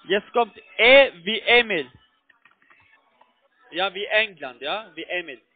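A man with a distinctly high voice comes through a narrow, tinny phone line. He has a foreign accent in his German and works as a call center tech agent. He gives instructions piece by piece, tagging them with little check questions. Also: lively intonation, slow pace, dry recording, soft background music. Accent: foreign, non-native German. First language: not German. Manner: repetitive, keeps checking with tag questions.

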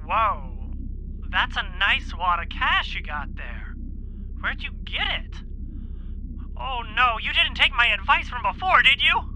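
A man's voice: very high voice, big pitch swings, unhurried, sharp tone, a little hiss or hum, heavily acted, poor audio. A man playing a nerdy, self-important young shop clerk in an audio drama, snarky and petulant. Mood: grudging.

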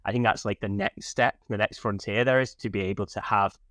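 A man's voice: lowish voice, steady tone, fairly quick, a bit bright, no echo. A man in his thirties answering interview questions in an enthusiastic, explanatory, conversational way. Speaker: podcast guest, tech company product leader. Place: small furnished room at home. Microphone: video call, wearing headphones.

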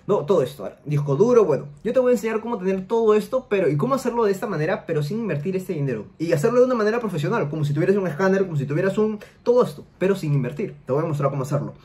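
Fast-talking young man explaining. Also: high-pitched, animated, a little mellow. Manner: rapid explainer, tag questions. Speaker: money-making YouTuber. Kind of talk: screen-share tutorial talk. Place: home room, seated in gaming chair.

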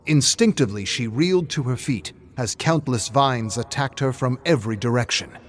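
A man's voice: mid-range voice, moderate pace. A man reading a scripted story aloud as a narrator, with theatrical, suspenseful delivery. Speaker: narrator reading game lore.

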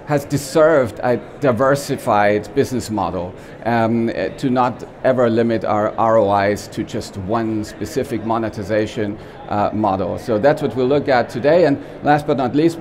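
Man, austrian accent, conference presenter, joking, fillers, faint room noise. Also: mid-range voice. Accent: Austrian German. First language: German. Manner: lively, joking, with many fillers and restarts. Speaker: company CEO giving a conference talk. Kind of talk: trade-show presentation. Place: small expo stage with backdrop, indoors.

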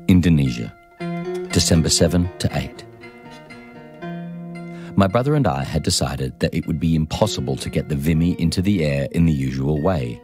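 A man with an extremely deep voice narrates a first-person memoir in a measured, deliberate way. It is scripted, audiobook-style reading, with music playing clearly behind him. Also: lively intonation.

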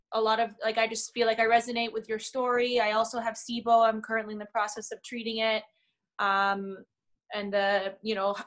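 A woman's voice: a little high, fairly bright tone. A woman casually introducing herself as a participant in an online workshop on a video call.

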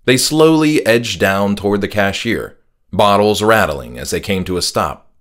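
A man's voice: on the low side, animated, unhurried, fairly bright tone. A man reading his own horror story aloud as a scripted first-person audiobook narration.

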